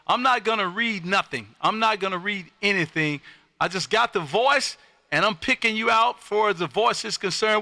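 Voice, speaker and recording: An American man hosting amateur radio contacts on a live stream, loud, exuberant and folksy, often repeating his phrases. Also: high voice, creaky, no echo.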